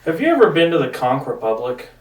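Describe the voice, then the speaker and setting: A man's voice: on the high side, wildly swooping pitch, fast talker, distant mic. A man trading casual comedic banter as a talk show co-host. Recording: echoey noisy room, off-mic.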